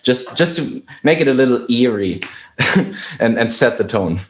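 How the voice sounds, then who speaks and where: A man's voice: medium pitch, lively intonation, a little slow. A man whose native language is German, a musician guest talking hesitantly with many restarts in casual conversation. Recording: roomy echo.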